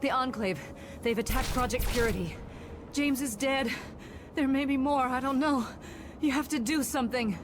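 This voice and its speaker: A woman's voice: high voice, normal speed, noisy background, lightly acted. An actress playing a distraught, weary woman scientist in a video game, urgent and faltering. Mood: urgent distress. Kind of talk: dramatic video game acting. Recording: music behind the voice.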